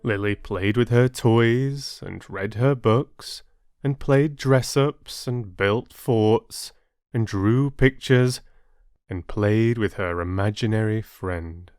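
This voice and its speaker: A man narrating a children's story expressively as a storyteller, in a measured way with dramatic pauses. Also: on the low side, a little mellow, clear, resonant tone, dry recording, studio quality.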